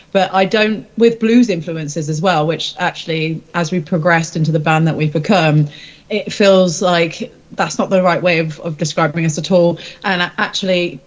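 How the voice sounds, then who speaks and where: A woman's voice: lowish voice, lo-fi sound. A woman musician chatting as an interview guest in a casual, informal, self-deprecating way.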